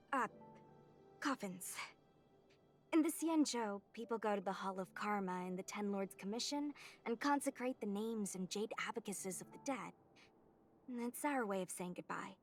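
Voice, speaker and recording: A woman voicing a young female knight trained with swords, curious and blunt, in a video game. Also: on the high side. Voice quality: eager, chatty questioning. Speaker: game character. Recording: game audio with faint music behind.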